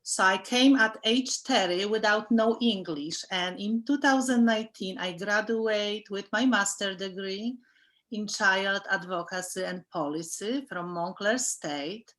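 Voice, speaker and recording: A woman in her fifties from Poland, speaking English with a strong Polish accent as a panelist on a video call, in careful, halting sentences. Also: medium pitch, fairly bright tone. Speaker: alumni panelist.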